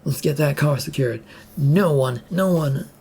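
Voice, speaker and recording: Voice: medium pitch, unhurried, vocal fry, dark tone, breathy edge, full character voice. A narrator voicing a bossy, exasperated male state trooper who gives orders in a storybook reading. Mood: urgent. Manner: commanding, announcing to crowds.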